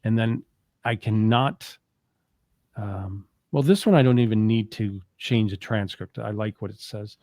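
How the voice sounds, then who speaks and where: A man's voice: fairly low pitch, unhurried, darkish tone, no room sound. Male tutorial co-host, casual, tentative step-by-step talk, close dry sound. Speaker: co-host demonstrating software. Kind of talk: casual live tutorial walkthrough.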